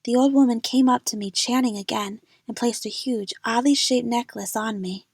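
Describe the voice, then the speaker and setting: A woman narrates a dramatic first-person story for an amateur audio drama. Her voice is fairly high with a husky, breathy edge, recorded close and dry. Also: fairly even intonation, moderate pace, dry recording.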